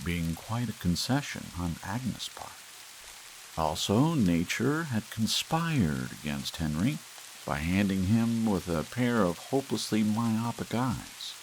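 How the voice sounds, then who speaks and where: A man narrating an audiobook in a slow, soft, hushed ASMR reading over gentle rain. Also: low-pitched, vocal fry, a little hiss or hum, poor audio.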